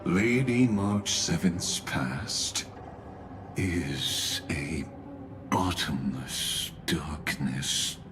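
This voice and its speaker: A cold, scheming genius from a fantasy game, his voice extremely low and very slow. He speaks with formal, ominous courtesy, every phrase measured, over clear background music. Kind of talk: scripted video game dialogue.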